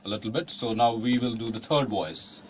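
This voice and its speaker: Male studio coach, Indian accent, medium pitch, casual halting direction, slight noise behind. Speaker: voice-over coach. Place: recording studio.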